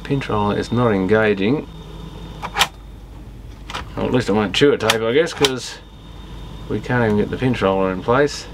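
Guy with a lowish voice mumbling thru a repair job, thinking out loud in bits, noisy background. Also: normal speed, long pauses. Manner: muttering, thinking aloud in fragments, trailing off. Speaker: electronics repairer and video host. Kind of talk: casual unscripted workbench commentary while tinkering.

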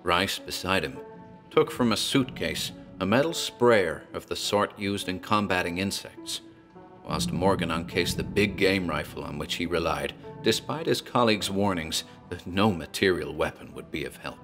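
A man's voice: deep voice, expressive, moderate pace, a bit bright, faint music behind. A man narrating a horror tale in a theatrical, storytelling manner, as a tavern landlord.

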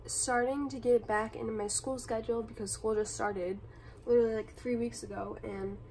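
A young woman, hoarse and raspy from being sick, chatting casually and apologetically while unboxing on a bedroom floor. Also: on the high side, fairly even intonation, normal speed, a little mellow, far from the mic, slight background noise, low-quality recording. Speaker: vlogger. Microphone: phone camera filming from above.

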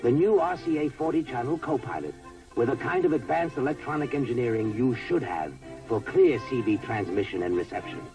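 An American man narrates a scripted 1970s television commercial. He sounds a little distant from the microphone, with music clearly playing under his mid-pitched voice. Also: lively intonation, fairly quick. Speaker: commercial announcer. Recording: somewhat distant vintage ad, music behind.

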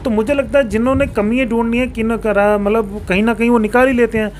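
A man's voice: very high voice, steady tone, fast talker. A man speaks as a local politician in an interview. He explains things formally and drops in English terms. Some background noise sits behind him. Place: small indoor office, seated on a sofa. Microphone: handheld reporter mic held toward him. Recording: clear background room noise.

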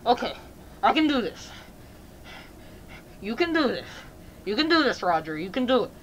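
A woman's voice: fairly high pitch, big pitch swings, moderate pace, slight background noise, rough recording. A woman voicing a panicky, flustered young man who stammers and repeats words in an amateur comedy role-play. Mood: anxious. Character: panicky, stammering, flustered boyfriend hiding behind a mask.

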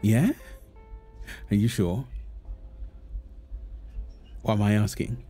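A man playing a calm, teasing, reassuring young husband and father in a natural, intimate audio roleplay, talking gently to his partner and baby. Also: fairly low pitch, slow, breathy edge, soft background music.

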